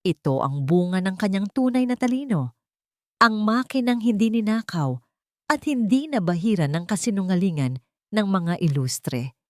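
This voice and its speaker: A woman's voice: medium pitch, animated, moderate pace, no echo, studio quality. A woman reading a scripted dramatic love story as its narrator.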